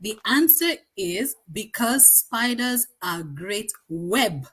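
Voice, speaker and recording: A woman's voice: medium pitch, animated, slow pace, a bit bright, slight background noise. Chatty woman hosting a live chat. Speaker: host interviewing a guest. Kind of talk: casual live online chat interview.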